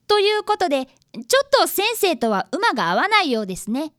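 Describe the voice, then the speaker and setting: Radio drama schoolboy detective apprentice, played by a woman: high, lively, polite, very dry sound. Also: brisk, no room sound, exaggerated voice. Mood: polite, flustered. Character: polite earnest young boy, fifth-grade detective apprentice. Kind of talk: radio drama acting and narration.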